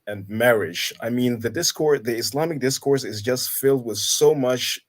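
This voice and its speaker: A man in his thirties from Kenya, a guest in a casual conversation, talking thoughtfully with many halts and restarts. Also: mid-range voice. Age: 30s. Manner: halting, many restarts and fillers. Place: Denmark.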